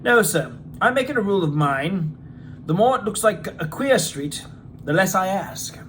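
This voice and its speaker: A man voicing a talkative, candid gentleman who tells an odd tale with emphatic, exclamatory delivery in a storybook reading. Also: high voice, animated, normal speed, a little hiss or hum. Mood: animated, opinionated.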